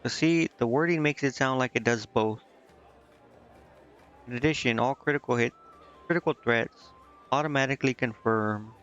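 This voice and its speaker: A man's voice: medium pitch, moderate pace, pauses often, a bit bright, clear, resonant tone. A man casually commenting on a video game he is streaming, thinking aloud and reading bits of on-screen text. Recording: slightly dull lo-fi mic, game music behind.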